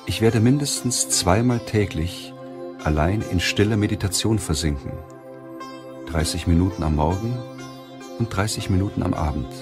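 A man reading a self-help audiobook as narrator, in measured, deliberate phrasing. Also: sits low, music in the background.

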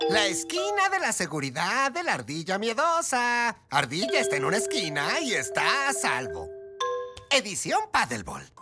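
A woman's voice: medium pitch, huge pitch swings, normal speed. A woman voicing a cheerful, goofy, friendly male skunk in a dubbed cartoon, good-natured and exclaiming. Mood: announcing. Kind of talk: cartoon dub acting. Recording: loud music under the voice.

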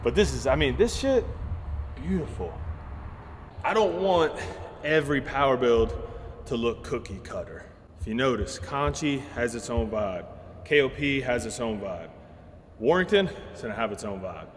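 A man's voice: medium pitch, animated, normal speed, pauses often. Profane laid-back man in an echoey warehouse. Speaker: gym owner. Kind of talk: casual vlog walkthrough. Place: large empty warehouse gym space. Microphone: far camera. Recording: echoey warehouse with long reverb, noisy.

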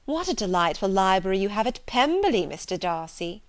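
A woman's voice: medium pitch, sing-song, a little fast, natural voice. A woman narrating a period novel, voicing a sly, fawning young society lady with an arch, insinuating delivery. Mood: gushing flattery. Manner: arch, insinuating, pointed emphasis. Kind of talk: audiobook character dialogue from a period novel.

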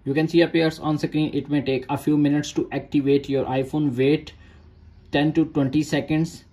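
A man's voice: fairly high pitch, little pitch movement, moderate pace, dark tone. A man narrating a phone tutorial in short, repeated step-by-step instructions in non-native English. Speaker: tech tutorial host.

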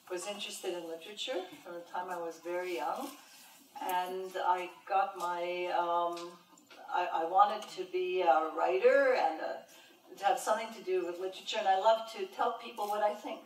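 A woman's voice: fairly low pitch, fairly even intonation, unhurried, a little hiss or hum. An older woman from Montreal, a professor and translator, answering audience questions in a thoughtful way with many fillers. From Montreal, Canada. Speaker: professor and translator giving a talk. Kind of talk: lecture and audience Q&A. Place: large lecture room with an audience. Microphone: podium microphone. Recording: roomy hall sound, mic heard from a distance.

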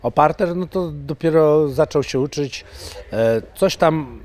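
A man in his forties, an MMA coach, talking casually in an interview at an indoor press wall and breaking into loud sponsor shout-outs. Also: on the high side, unhurried. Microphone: handheld mic held by interviewer.

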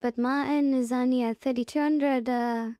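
A woman presenting a casual software tutorial step by step, unhurried and thinking aloud as she goes. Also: high-pitched, fairly even intonation, clean tone, no room sound, clean studio sound.

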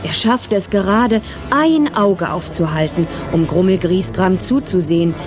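A woman's voice: on the low side, a little slow, music in the background, close to an everyday voice. A woman narrating a scripted children's story.

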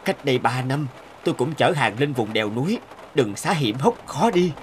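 A man's voice: mid-range voice, lively intonation, normal speed, noisy background, natural voice. A man playing a kindly, humble truck driver in a cartoon, speaking in an earnest, reflective storytelling way. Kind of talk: cartoon voice acting.

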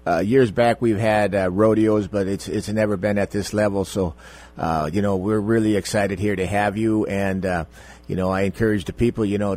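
Low-voiced older man on radio. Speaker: county fair official, guest. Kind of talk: casual, halting radio talk. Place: small radio studio. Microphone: close broadcast mic on boom arm.

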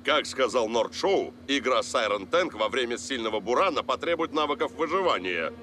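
A man voicing a serious, steady football coach in a dubbed cartoon, instructive and matter-of-fact. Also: medium pitch, brisk, a little hiss or hum.

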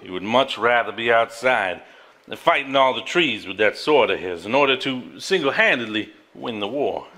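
An actor on a theater stage playing a man who reads an old journal aloud, deliberate, formal and earnest. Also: mid-range voice, bright, forceful tone. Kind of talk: live stage drama, measured dramatic reading. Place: theater stage before a live audience.

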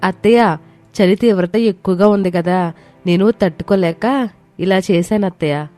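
A woman voicing a young daughter-in-law in a family story, plaintive, argumentative and quick to talk back. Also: mid-range voice, a little mellow, lightly acted. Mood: whining. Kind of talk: dramatized moral story voice acting. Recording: music behind the voice.

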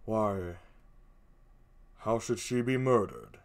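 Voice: mid-range voice, big pitch swings, takes it slowly, pitched below the performer's own voice. A performer voicing a jealous, commanding and anguished husband in a declamatory, theatrical Shakespearean stage reading. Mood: grave, guarded. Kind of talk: classical Shakespearean stage acting.

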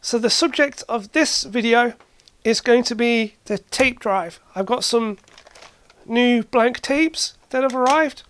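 A hobbyist host giving a casual hands-on demonstration, halting and matter-of-fact, thinking aloud while working. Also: mid-range voice, a bit bright, no echo. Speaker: host, retro computer hobbyist. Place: indoor cluttered workshop.

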